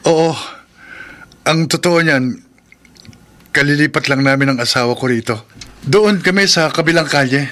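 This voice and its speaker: An actor playing a good-natured, henpecked taxi driver in a horror radio drama, hesitant and often thinking aloud. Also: male voice, on the high side, moderate pace, long pauses, bright, forceful tone. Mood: puzzled.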